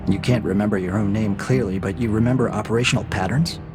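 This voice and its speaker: A man voicing a cold AI interrogator in a science fiction audio drama, measured and formal, needling with calm, mocking questions. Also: on the low side, over music, lightly acted. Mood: clinical, needling.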